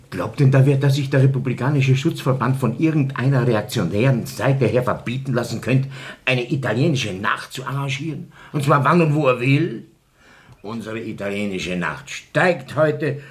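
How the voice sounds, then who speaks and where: A man's voice: mid-range voice, expressive, moderate pace, lightly acted. An actor playing a pompous, self-satisfied town councillor and club chairman in a radio play, speaking like an orator. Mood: defiant. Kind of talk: German-language radio drama acting.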